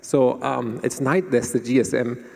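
A man talking as a conference panelist in halting, piecemeal phrases. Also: mid-range voice, flat delivery, vocal fry, darkish tone. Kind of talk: panel discussion. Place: large conference hall stage, indoors. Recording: reverberant hall.

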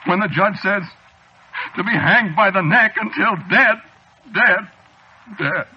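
A man's voice: high voice, takes it slowly, husky, full character voice. Scared desperate man in an old 1940s radio play, begging, jumpy, dull muffled sound. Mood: despairing. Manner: pleading, jittery, repetitive. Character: frightened, desperate man losing his sanity. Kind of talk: 1940s radio drama acting.

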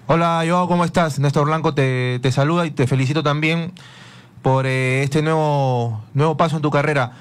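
Slightly high radio co-host. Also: male voice, steady tone, moderate pace, clear, resonant tone.

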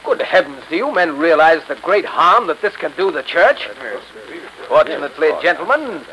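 A man's voice: on the high side, big pitch swings, normal speed, pushed and bright. An actor playing an indignant, self-assured church committeeman in 1930s radio style. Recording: slightly noisy 1930s radio recording.

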